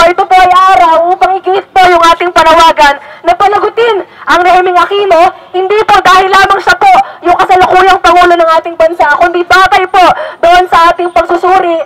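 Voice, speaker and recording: A woman's voice: very high-pitched, heavy background noise. A woman from the Philippines giving an earnest, formal speech at an outdoor protest rally, polite and declamatory. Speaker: student activist speaker.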